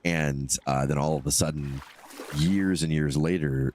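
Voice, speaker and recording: A man's voice: extremely low pitch, steady tone, a little slow, a little mellow, slight background noise. A male streamer chatting casually with his viewers while he plays, stuffed up from a cold and rambling between topics. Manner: rambling, chatty, answers chat comments. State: stuffed-up nose. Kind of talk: casual live-stream chat while gaming.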